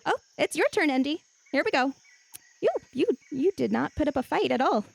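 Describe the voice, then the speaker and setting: A woman's voice: sits high, animated, fairly quick, vocal fry, dry recording, full character voice. A woman playing an eager, fussy female adventurer in a tabletop game, chattering insistently with little stammers. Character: eager, fussy female adventurer who sketches and loves safety. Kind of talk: improvised tabletop roleplay.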